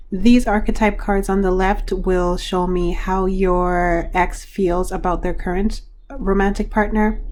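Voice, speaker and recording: Hoarse woman giving a card reading. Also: lowish voice, darkish tone, slight background noise. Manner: frank, chatty, trailing restarts. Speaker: tarot card reader. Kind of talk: pick-a-card reading to viewers.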